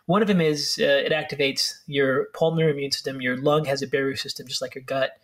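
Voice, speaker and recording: A man speaking as a guest expert, explaining brain health in a fast, filler-heavy way with restarts. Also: medium pitch, steady tone. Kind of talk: explanatory interview talk.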